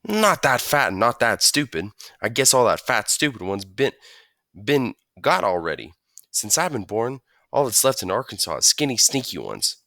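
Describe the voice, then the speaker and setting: A high, bouncy young country boy from Arkansas, as a male audiobook reader puts him on, chattering away with a drawl. His pitch leaps all over as he jabbers eagerly. The reading is dry and close. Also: sing-song, moderate pace, pushed and bright, no room sound. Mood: eager, chatty. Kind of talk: audiobook character voice.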